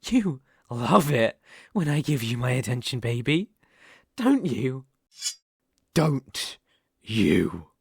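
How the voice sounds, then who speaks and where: A man's voice: mid-range voice, sing-song, slow pace, creaky, pauses often, slightly rough, dry recording, exaggerated voice. A British man playing an obsessive, possessive young kidnapper in an intimate ASMR roleplay, coaxing and menacing in a condescending way, close on the microphone. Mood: condescending, threatening.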